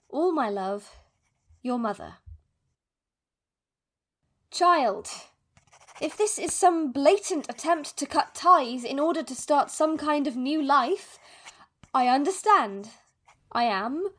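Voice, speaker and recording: A woman playing an anxious, wounded, fiercely loving middle-aged mother, reading her letters in a formal, measured way in a fantasy audio drama. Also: high voice. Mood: strained, put out, then hurt, furious.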